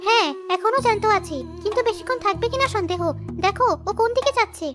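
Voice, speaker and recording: A woman voices a young village girl from a cartoon story, with an extremely high voice. She is brave, sharp and assertive, talking quickly over background music. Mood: alert, commanding.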